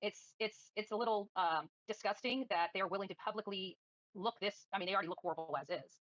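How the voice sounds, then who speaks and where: A woman's voice: mid-range voice, fast talker, fairly bright tone, no echo, lo-fi sound. A woman livestreaming commentary and answering viewers in a combative, defiant, scornful manner. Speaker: livestream host.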